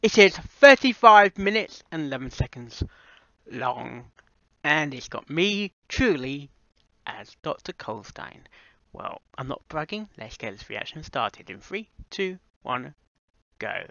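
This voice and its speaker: Male reaction host, unhurried and animated, bright tone, casual short repeated remarks, dry dull lo-fi mic. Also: on the high side, vocal fry, dry recording. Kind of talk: casual live reaction commentary.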